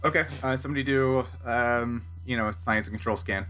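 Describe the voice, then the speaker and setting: A man improvising narration as game master of a casual tabletop role-playing game, pausing to find his words. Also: medium pitch, steady tone, a bit bright. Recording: faint music underneath.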